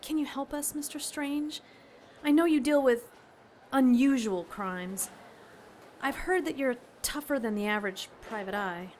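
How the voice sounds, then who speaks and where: A woman's voice: high voice, a little slow, darkish tone, slight background noise. An actress playing a worried, earnest woman seeking help in an audio drama.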